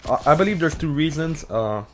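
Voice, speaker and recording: A man's voice: fairly high pitch, animated, fairly quick. A young man with a Quebec French accent, partly Americanized, rambling in a casual vlog full of swearing, "uh" fillers and restarts. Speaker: YouTuber answering Reddit questions.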